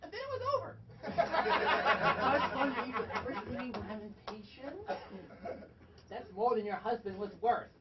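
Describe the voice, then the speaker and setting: A woman's voice: a little high, lively intonation, measured pace, long pauses, highs cut off, a loud crowd. A woman on stage playing a fussy, pleading elderly servant in a dramatic, exclamatory manner. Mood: exasperated, pleading. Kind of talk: theatrical stage acting. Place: theatre stage, picked up from a distance. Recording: distant stage recording with clear background noise.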